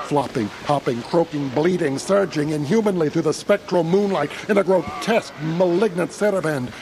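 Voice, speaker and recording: Haunted man, higher-pitched, narrating horror. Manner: literary, solemn, intense storytelling. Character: haunted, horrified male traveler recounting his escape. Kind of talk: dramatic first-person horror narration, audio theatre. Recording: clearly noisy, ambient sound behind voice.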